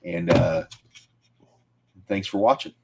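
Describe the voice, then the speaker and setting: Male co-host, low voice, plain-spoken and argumentative. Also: takes it slowly, background noise. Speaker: podcast co-host. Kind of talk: casual chat.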